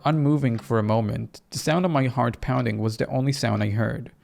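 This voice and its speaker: A narrator reading a first-person horror story dramatically, in a measured, suspenseful way. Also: medium pitch, vocal fry, a little mellow. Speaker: game narrator and reader.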